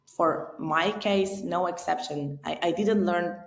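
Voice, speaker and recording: Her voice sits low, and an echo from the room hangs around it. She speaks English with a clear Iranian accent. She is a non-native speaker in a relaxed interview, earnest and unhurried, often pausing and restarting as she picks her words. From Iran. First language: Persian. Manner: earnest, with frequent restarts and pauses while searching for words. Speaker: English teacher, interview guest. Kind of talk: casual interview conversation.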